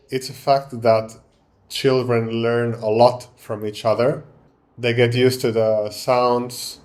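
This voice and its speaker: Finnish guy showing off a language app, slow and calm, kinda thinking out loud. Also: medium pitch. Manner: calm, thinking aloud, with pauses and fillers. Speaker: language teacher and channel host. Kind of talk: explaining an app while trying it.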